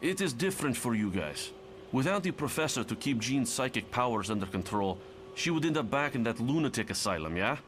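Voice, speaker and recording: A man's voice: mid-range voice, big pitch swings, a little fast, fairly bright tone, a little hiss or hum. A man voicing a reluctant, stubborn young superhero in a motion comic, blunt and dismissive in manner. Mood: argumentative. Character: reluctant nineteen-year-old Russian male superhero, stubborn and weary. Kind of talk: dramatic motion comic voice acting.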